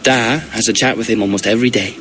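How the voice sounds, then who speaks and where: An actor playing a cocky, hot-headed young Viking prince with a brash manner in a video game. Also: male voice, fairly low pitch, fairly even intonation, fast, fairly bright tone, a little hiss or hum, lightly acted. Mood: earnest. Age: young adult. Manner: taunting, brash. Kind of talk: dramatic video game cutscene acting.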